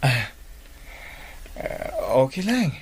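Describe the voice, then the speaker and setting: A man's voice: medium pitch, sing-song, drawn-out words, breathy edge, heavily acted. A man acting a friendly, attentive young student in an Ilocano radio drama, with an Ilocano accent. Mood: curious, hesitant.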